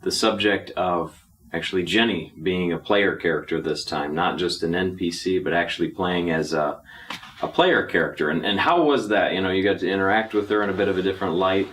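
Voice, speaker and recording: A man's voice: sits low, steady tone, fairly quick, a bit bright. A man hosting a casual group discussion, asking questions and reacting to the others. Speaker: host. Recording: distant mic, audible room noise.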